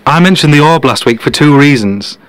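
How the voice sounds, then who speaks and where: A man playing a cocky, teasing genius detective in an audio drama, deadpan and matter-of-fact. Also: a little high, a little fast, clean tone, lightly acted. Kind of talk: podcast-style audio drama dialogue.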